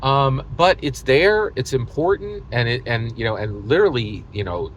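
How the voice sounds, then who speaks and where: An American man hosting a casual, opinionated gaming monologue, speaking emphatically and repetitively, often halting mid-thought and leaning on hyperbole. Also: medium pitch, animated, a little hiss or hum. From United States. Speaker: host of a tabletop gaming video channel.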